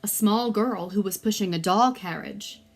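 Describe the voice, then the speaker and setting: A woman reading a children's story aloud expressively, with deliberate, dramatic emphasis for young listeners. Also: mid-range voice, vocal fry, faint music behind.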